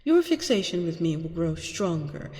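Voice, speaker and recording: A woman playing a seductive, manipulative vampire queen who poses as a therapist in an ASMR roleplay, coaxing and breathy, often slipping into whispers. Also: lowish voice, sing-song, normal speed, mellow. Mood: commanding, seductive. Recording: long reverberant echo on voice.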